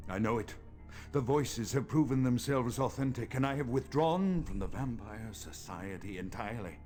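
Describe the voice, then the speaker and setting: A man's voice: lowish voice, a little mellow, close to an everyday voice. An actor playing a paranoid, refined vampire doctor in a video game, confessing his dread in a formal, theatrical diary monologue. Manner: formal, florid, theatrical diction. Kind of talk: dramatic recorded diary monologue.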